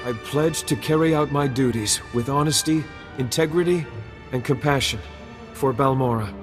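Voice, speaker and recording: A man's voice: mid-range voice, normal speed, natural voice. An actor playing an idealistic male politician in a video game, formal and oratorical, earnest and dignified. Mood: solemn. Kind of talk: video game dialogue acting. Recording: long hall reverb, music behind.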